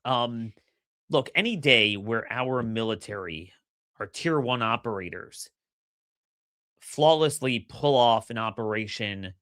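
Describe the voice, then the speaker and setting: A middle-aged man with a slightly hoarse voice talks through his opinions in an energetic, forceful way, often stumbling over his first words. His voice is bright and pressing. The recording is dry and close, with no room sound. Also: mid-range voice, no room sound. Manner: energetic, opinionated, repeats words when starting sentences. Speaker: podcast host. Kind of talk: solo political commentary.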